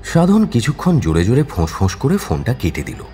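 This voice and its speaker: A man's voice: mid-range voice, huge pitch swings, fairly quick, mellow, soft background music. A man narrating a scripted crime audio story in a dramatic storytelling style.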